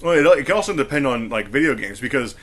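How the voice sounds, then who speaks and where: A man's voice: mid-range voice, animated, fast. A man co-hosting a podcast in casual group discussion, talking in a rambling, hesitant way. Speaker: podcast co-host.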